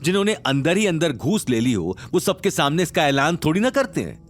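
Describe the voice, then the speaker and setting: Man putting on a haughty, higher-pitched complaining woman. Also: big pitch swings, a little fast. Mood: sarcastic, accusing. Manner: haughty, accusing, whining. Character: arrogant, indignant, complaining middle-aged women, two sisters.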